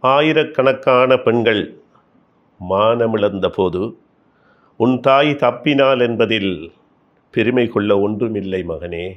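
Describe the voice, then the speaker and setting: A man's voice: on the low side, takes it slowly, long pauses. A man reciting free verse as a poet. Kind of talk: poetry recitation. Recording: slightly dull, muffled sound.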